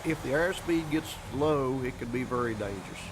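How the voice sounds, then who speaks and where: A man's voice: mid-range voice, moderate pace, background noise. A man, an airline captain, recalling events in a documentary interview in a plain, matter-of-fact way with folksy grammar. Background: background music.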